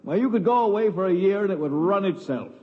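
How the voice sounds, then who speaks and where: An actor playing a chatty, eager real estate agent in a 1950s radio drama, with a breezy sales manner. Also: male voice, high-pitched, lively intonation, quick pace. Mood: eager selling. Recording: muffled old radio, reverberant, faint noise.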